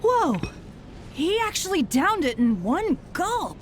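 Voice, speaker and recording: A woman playing a rowdy tavern patron who exclaims loudly and excitably. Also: very high-pitched, slow, a little hiss or hum, exaggerated voice. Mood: excited.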